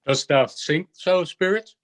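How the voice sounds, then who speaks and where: A man reading the part of a commanding old sorcerer in measured, declamatory verse, stern yet softening to mercy. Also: on the high side, voice pushed up, close to an everyday voice. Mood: grave, merciful.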